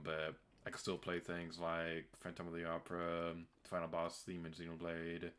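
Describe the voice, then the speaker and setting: A young man with a deep voice giving chatty, self-deprecating commentary while streaming a game. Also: a bit bright. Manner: chatty and self-deprecating, with filler words and laughs. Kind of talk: casual gameplay commentary.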